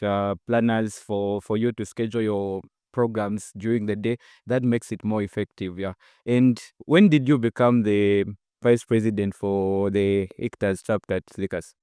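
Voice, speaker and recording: Male podcast host, low smooth voice, relaxed and affirming, dry close mic. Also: unhurried, no room sound. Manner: relaxed, affirming, short "yeah" responses. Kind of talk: casual podcast interview.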